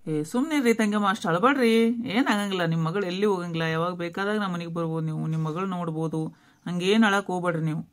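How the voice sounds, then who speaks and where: A woman with a North Karnataka accent playing a blunt, exasperated middle-aged relative in a family skit, brisk and scolding. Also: fairly low pitch, close to an everyday voice. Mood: consoling.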